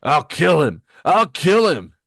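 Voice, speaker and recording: A man voicing a hot-tempered villain brute from a comic, shouting threats. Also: mid-range voice, unhurried, no room sound, clean studio sound, lightly acted. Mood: enraged.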